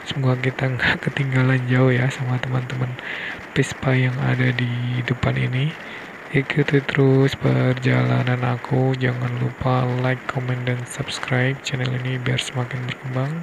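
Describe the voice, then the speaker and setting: A man's voice: mid-range voice, monotone, normal speed, gravelly. A young man, chatting casually as a motovlog host while riding, dropping "guys" and little hesitations. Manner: chatty, keeps tagging "guys", hesitant fillers. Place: on a scooter on a rural road outdoors. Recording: wind and road noise.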